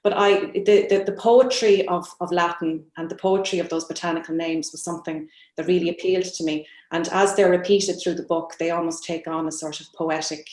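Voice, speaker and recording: An Irish woman, a novelist on a panel, talking reflectively about her writing in a halting, thoughtful way. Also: lowish voice, steady tone, a little mellow. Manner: halting, thoughtful, searching for words. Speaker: novelist guest on a literary panel. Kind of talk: reflective interview answer about own writing. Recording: roomy, echoing sound.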